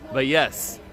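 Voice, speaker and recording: A man with a slightly hoarse voice chats casually to viewers and friends as he hosts a live stream. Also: on the high side, fairly bright tone. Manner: chatty, addresses viewers and friends. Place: outdoors at a busy theme park.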